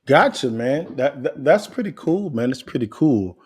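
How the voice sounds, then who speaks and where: A man's voice: mid-range voice, animated, moderate pace, breathy edge. A man hosting a podcast interview, chatting casually and encouragingly with his guest. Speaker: podcast host.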